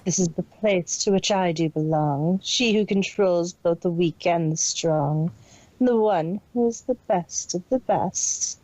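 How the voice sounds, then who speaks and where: A woman's voice: on the low side, unhurried, warm tone, poor audio. A woman voicing a cold, detached, imperious female pony villain boss in an amateur story reading. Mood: smug, self-assured. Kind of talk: amateur fan fiction audio reading.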